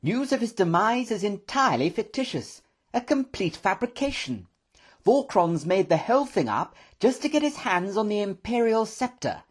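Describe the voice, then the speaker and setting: An excitable old-fashioned storybook professor, pitched high and swinging widely. He is pompous and patronising, forever exclaiming in melodramatic alarm. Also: moderate pace. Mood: excited, indignant.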